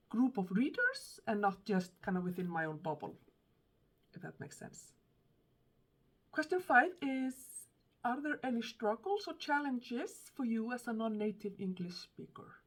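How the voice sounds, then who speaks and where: A woman's voice: a little high, fairly bright tone. A woman in her thirties from Iceland with an Icelandic accent, chatting casually to camera about books, thoughtful and hesitant, with many fillers and restarts. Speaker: book vlogger.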